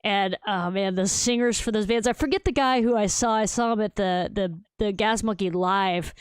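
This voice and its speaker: A woman hosting a casual interview over a video call. She talks in a bubbly, excited way, laughs often and says "dude" and "like" a lot. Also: mid-range voice, moderate pace, smooth, dry recording, studio quality. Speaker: show host.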